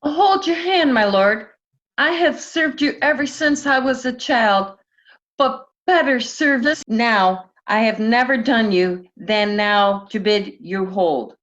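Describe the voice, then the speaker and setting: Through a dull, lo-fi mic, a loyal and earnest servant, played by a woman speaks Shakespearean lines. The voice is slightly high and unhurried. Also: fairly bright tone. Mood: urgent, pleading. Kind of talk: Shakespearean readers' theatre.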